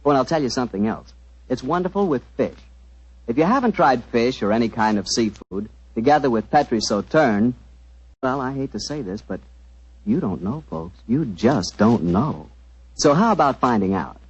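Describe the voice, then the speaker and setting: Animated American 1940s radio announcer man. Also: mid-range voice, normal speed, husky, no room sound. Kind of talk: 1940s radio announcing and folksy sponsor pitch. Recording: muffled noisy 1940s radio recording.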